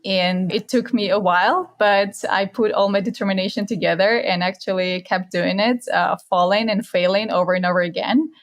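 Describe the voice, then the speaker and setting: A woman's voice: mid-range voice, steady tone, moderate pace, hardly any pauses. A young woman from Russia with a Russian accent, talking earnestly and reflectively as a podcast guest, with slightly non-native phrasing. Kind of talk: casual interview chat.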